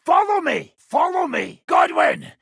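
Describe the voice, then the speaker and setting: Casting: brash middle-aged medieval mercenary lord, very gravelly, wildly animated delivery, sardonic soldier for a game. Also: male voice, extremely high pitch, measured pace, dry recording, shouting, clean studio sound, heavily acted. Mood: urgent battle shouting. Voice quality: raspy, gravelly. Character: gruff, swaggering middle-aged mercenary nobleman, foul-mouthed medieval soldier. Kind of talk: video game voice acting.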